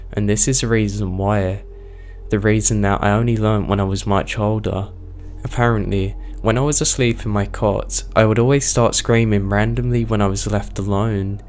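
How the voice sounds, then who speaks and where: Low-voiced man with a strained, hoarse voice. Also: darkish tone, slight background noise. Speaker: horror story narrator, channel host. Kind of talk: scripted horror story narration.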